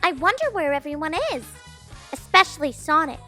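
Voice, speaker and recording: High, bright, bubbly cartoon girl. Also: expressive, a little fast, faint music behind. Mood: cheerful. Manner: bubbly, eager. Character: perky, girlish, sweet female cartoon hedgehog.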